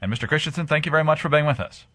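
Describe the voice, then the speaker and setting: Radio host guy, bright punchy voice, dry studio sound, smooth intros. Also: fairly high pitch, racing, no room sound. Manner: smooth, scripted introductions and questions. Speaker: radio talk show host. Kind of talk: radio interview.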